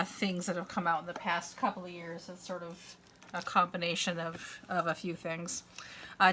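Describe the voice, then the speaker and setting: A woman's voice: lowish voice, pushed and bright. A middle-aged woman vlogging from home in a long, rambling casual chat, congested and fighting a dry cough, with many uhs and restarts. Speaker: crafter and vlogger. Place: at home, indoors.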